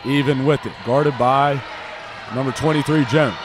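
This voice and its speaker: A man's voice: medium pitch, steady tone, normal speed. A young American man doing live basketball play-by-play in clipped phrases that follow the action. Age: young adult. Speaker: play-by-play commentator. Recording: live gymnasium commentary over loud court noise. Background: basketball bounces and gym noise.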